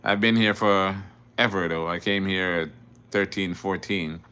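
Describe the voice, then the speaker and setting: Man, middle-aged, from Jamaica, bright forceful tone, deep voice, answers viewer questions. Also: steady tone, measured pace, smooth. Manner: reads viewer questions aloud, then answers them plainly; repeats words and restarts often. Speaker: driving instructor. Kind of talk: casual livestream Q&A.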